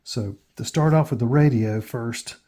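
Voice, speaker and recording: An American man hosting a casual step-by-step tutorial in a plain, matter-of-fact way with hesitant pauses. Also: medium pitch, dark tone. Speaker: tutorial host.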